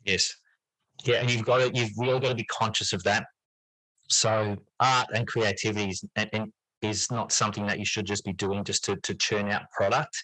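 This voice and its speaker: A man's voice: lowish voice, flat delivery, normal speed, bright, forceful tone, no room sound, poor audio. A man speaking as an interview guest in casual conversation, hesitant and rambling, often repeating words as he finds his point. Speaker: podcast guest, English teacher and writer.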